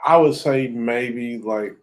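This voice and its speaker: Animated, joking male podcast co-host. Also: mid-range voice, slow. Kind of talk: casual chat.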